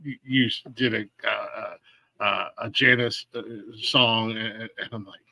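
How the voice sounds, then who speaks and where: A man's voice: on the low side, fairly even intonation, measured pace, vocal fry, pushed and bright, no room sound. A man hosting a casual interview on a video call, friendly and stammering, and he laughs often. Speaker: podcast host. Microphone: video call setup.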